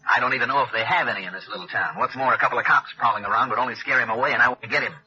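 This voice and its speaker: A man's voice: mid-range voice, rapid-fire, sharp tone. A man playing a tough, commanding insurance investigator in a 1960s radio detective drama, blunt and clipped. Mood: businesslike. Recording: dull old radio broadcast, telephone-like.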